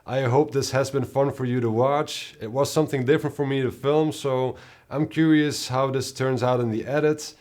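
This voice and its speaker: A man with a low voice and a non-native accent, answering viewer questions in a rambling, relaxed way. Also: normal speed. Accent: non-native English accent. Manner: rambling, relaxed, many fillers. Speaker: musician and video host. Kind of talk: casual Q&A vlog.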